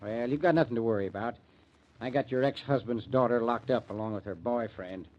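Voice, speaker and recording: An actor playing a blunt, suspicious small-town American sheriff with folksy, ungrammatical speech in an old-time radio drama. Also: male voice, medium pitch, a little fast, close to an everyday voice. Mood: wary, grudging. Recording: dull, muffled old radio recording.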